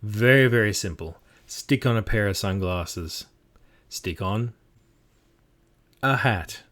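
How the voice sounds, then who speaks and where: Chatty Australian man, lowish voice. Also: takes it slowly, a bit bright. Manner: chatty, self-deprecating, encouraging. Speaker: game master giving tips. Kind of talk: casual instructional talk.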